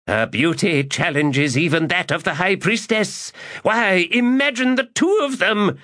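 A male narrator voicing a scheming, lecherous mage who mutters his plots aloud, high and excitable, in an audiobook. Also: a little slow. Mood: gleeful. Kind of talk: audiobook character voice.

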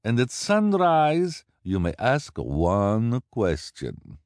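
A man's voice: fairly low pitch, very animated, slow pace, a little mellow, no room sound, studio quality, voice pushed up. Man doet sluwe waarzegster, vleiend, vreemd buitenlands accent, gebroken Engels, hoge stem, levendige melodie. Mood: complaining. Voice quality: strange foreign accent, broken English. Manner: wheedling, ends phrases with "please". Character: scheming female fortune-teller, flattering, greedy. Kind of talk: audiobook character voice.

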